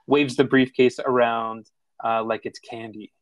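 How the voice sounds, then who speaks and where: A man's voice: medium pitch, little pitch movement, measured pace, dry recording. Narrator, male: mid-register, informal reading of stage directions, close dry sound. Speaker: narrator of a screenplay table read.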